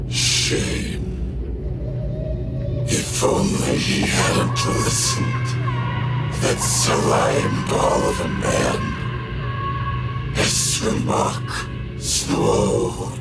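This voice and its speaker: A man playing a grave, theatrical narrator of a dark science fiction audio drama, with drawn-out, ominous delivery. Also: very deep voice, wildly swooping pitch, vocal fry, exaggerated voice. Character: ominous male narrator of a dark sci-fi tale. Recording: echoey, distant voice under loud music.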